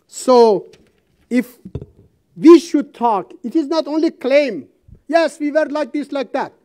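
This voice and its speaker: A woman from Iran, giving a seminar speech in an auditorium in halting English, speaking emphatically and reciting verse. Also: high voice, lively intonation, warm tone. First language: Persian.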